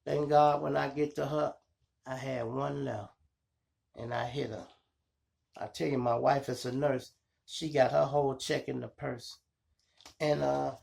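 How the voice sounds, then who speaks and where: A man with a Chicago accent and a gravelly voice, telling street stories casually to his viewers in slangy, profane talk. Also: on the high side. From Chicago, United States. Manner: animated retelling, slangy, profane, acts out dialogue and sound effects. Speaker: storytelling podcast host.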